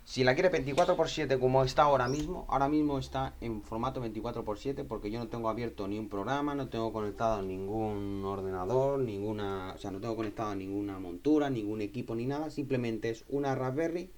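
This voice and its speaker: A man giving an informal software tutorial, explaining step by step, repeating himself and checking in with short tag questions. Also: mid-range voice, fairly quick, hardly any pauses, a little hiss or hum. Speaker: tutorial instructor.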